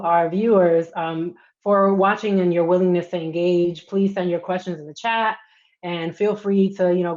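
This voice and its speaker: Woman moderating a panel, low voice, muffled call audio. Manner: warm, measured, with frequent ums and restarts.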